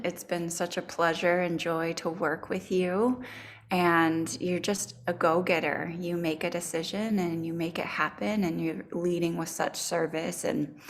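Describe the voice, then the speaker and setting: A woman hosting a team video call, encouraging and praising her teammates one by one. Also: lowish voice. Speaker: call host, team leader. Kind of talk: casual video-call speech.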